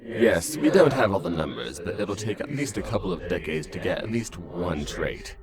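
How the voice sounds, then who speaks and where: A man playing a grave, resolute monster scientist in a comic dub, speaking in formal, measured, clinical phrases. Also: sits low, animated. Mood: calm, clinical. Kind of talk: dramatic comic dub acting. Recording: prominent music behind voice.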